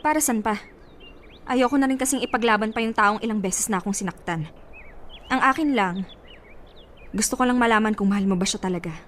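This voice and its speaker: An actress playing a heartbroken young woman in a radio drama, speaking steadily and with quiet edge. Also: medium pitch, a little fast, pauses often, a little hiss or hum, natural voice. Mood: wounded, restrained. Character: heartbroken young woman, calm but wounded. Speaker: lead character.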